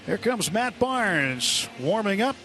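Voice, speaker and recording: An American man doing live radio play-by-play of a baseball game, with unhurried pitch calls and pauses between phrases. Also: big pitch swings, sharp tone. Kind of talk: live sports commentary. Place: ballpark broadcast booth. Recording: live stadium broadcast, ambient noise.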